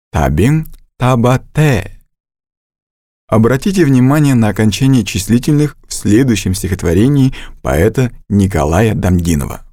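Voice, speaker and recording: A man reading scripted narration for a language course, with slow, deliberate instructional delivery and a close studio mic. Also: mid-range voice, a little mellow, no room sound.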